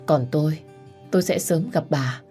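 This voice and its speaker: Woman as elderly grieving father, restrained, gentle, low-pitched, storybook drama, faint music. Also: normal speed, close to an everyday voice. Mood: sorrowful.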